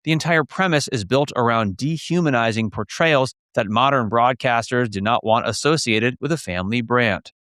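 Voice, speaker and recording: A man narrates a scripted documentary piece in a mid-pitched voice. The sound is close and very dry, like a studio voice-over. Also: normal speed, hardly any pauses, fairly bright tone, no echo.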